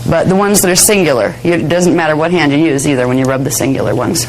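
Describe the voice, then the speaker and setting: A woman with a hoarse voice giving a spiritual workshop lecture, chatty and digressive, laughing often. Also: low voice, fast talker, a little mellow, faint music behind, poor audio. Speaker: spiritual teacher. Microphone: handheld microphone near face.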